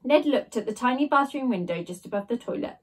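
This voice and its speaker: A woman's voice: a little high, expressive, a little fast, breathy edge. A woman in her thirties, a teacher, reading a storybook expressively and chatting casually. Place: small bedroom at home, indoors. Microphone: device camera at desk distance.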